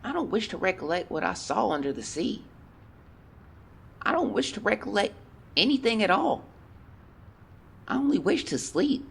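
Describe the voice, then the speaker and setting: A man's voice: high voice, sing-song, moderate pace, gravelly, pauses often, a bit bright, slightly rough, heavily acted. A man playing a haunted teenage boy explorer, solemnly and theatrically dictating a fearful journal in an audio drama. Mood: dread, resolve. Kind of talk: dramatic first-person journal narration.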